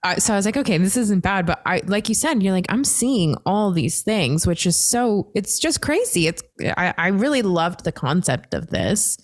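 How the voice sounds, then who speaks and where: A woman's voice: medium pitch, big pitch swings, normal speed, few pauses, dry recording. A woman hosting a podcast in casual chat, rambling along as she works out her thoughts. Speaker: podcast host.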